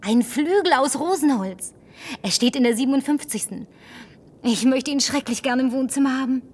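A brash, teasing survivor. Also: female voice, high-pitched, normal speed, husky, far from the mic. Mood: wistful. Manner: teasing, sharp-tongued. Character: brash lonely young woman, last survivor. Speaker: Linda, survivor driving a car. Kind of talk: German film dub.

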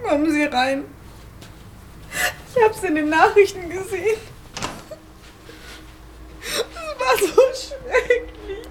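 A woman's voice: very high-pitched, takes it slowly, pauses often, slight background noise. An actress playing a shaken, grieving young woman in a naturalistic crime drama. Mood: tearful shock. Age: young adult.

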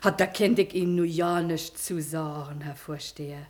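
A woman's voice: low voice, steady tone, moderate pace, darkish tone, natural voice. An actress playing a sly, chatty washerwoman who speaks folksy, ungrammatical dialect. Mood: evasive. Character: sly washerwoman Frau Wolf, village gossip. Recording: roomy studio echo.

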